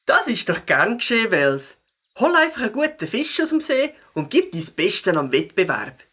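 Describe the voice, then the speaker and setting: A woman voicing a cheerful little beaver with a slightly husky voice and a Swiss German accent in a children's audio play. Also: mid-range voice, normal speed. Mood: friendly. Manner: expressive, exclamatory. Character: cheerful little beaver who loves biking.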